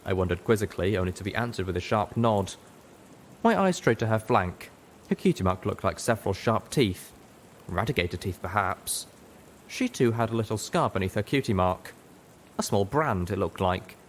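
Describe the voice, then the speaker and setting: A man's voice: on the low side, expressive, normal speed, slight background noise. A man reading a scripted first-person story aloud as an audiobook narrator. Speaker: audiobook reader.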